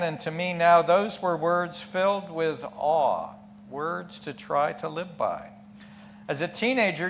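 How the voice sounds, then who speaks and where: Higher-voiced man preaching, unhurried. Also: fairly bright tone. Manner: reflective, emphatic, rhetorical questions and asides. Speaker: lay preacher giving a sermon. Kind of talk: sermon. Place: church pulpit. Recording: clear background hum and noise.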